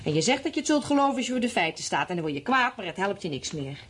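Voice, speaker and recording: An actress playing a restless, distrustful and wistful wife in a Dutch radio play, with a needling, musing way of talking. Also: female voice, medium pitch, very animated, rapid-fire, slight background noise, natural voice. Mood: scornful. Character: restless, thirsty wife scavenging an abandoned house, distrustful and wistful.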